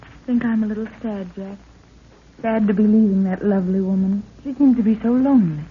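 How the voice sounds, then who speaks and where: A woman's voice: medium pitch, a little fast, husky. A woman playing a tender, nervous young explorer in a 1930s radio drama. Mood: wistful. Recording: tinny, noisy 1930s radio recording.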